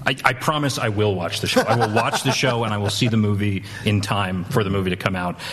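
A man with a low, husky voice speaks as a panelist on a movie news show. His talk is casual and a bit halting as he works through his thoughts. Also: a bit bright.

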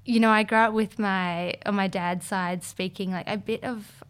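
A young woman chats as a podcast guest, her voice smooth and clear at a middle pitch. She talks loosely, with plenty of fillers. She laughs easily as she goes. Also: fairly even intonation, moderate pace, a bit bright. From Australia. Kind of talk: casual chat.

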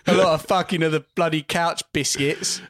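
A man's voice: a little high, fairly even intonation, normal speed, fairly bright tone, no echo. A man, co-hosting a podcast, full of banter and swearing, calling his friend mate. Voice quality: banter-heavy, sweary, calls people mate. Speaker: podcast co-host. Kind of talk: casual chat.

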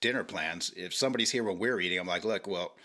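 A middle-aged man with a low voice and a bright, forceful edge. He speaks as a podcast guest, stumbling through restarts and fillers. He keeps his explanation careful and diplomatic. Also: fast talker. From Hawaii. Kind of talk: casual podcast chat.

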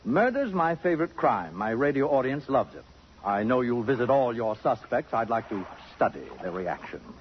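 An actor playing a vain, erudite society wit in a 1950s radio drama, speaking in a pompous, precise, sardonic way. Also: male voice, mid-range voice, sing-song, normal speed, slight background noise, natural voice. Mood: smug. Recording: dull, worn 1950s radio recording.

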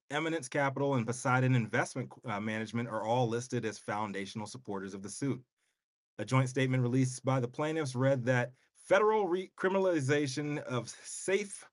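A man's voice: mid-range voice, no room sound, clean studio sound. A man co-hosting a talk show, reading a news story with wry asides and casual slang. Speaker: co-host, news segment presenter.

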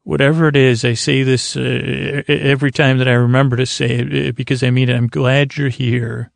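A man hosting a bedtime podcast in a soothing, lulling tone, meandering and halting as he repeats himself and trails off mid-sentence. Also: medium pitch, little pitch movement, creaky, no echo. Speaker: podcast host. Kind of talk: rambling bedtime monologue to help listeners sleep.

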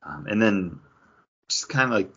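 Male podcast co-host, deep-ish voice, casual film talk, hedging, slightly muffled lo-fi sound. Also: animated, normal speed, sharp tone, husky.

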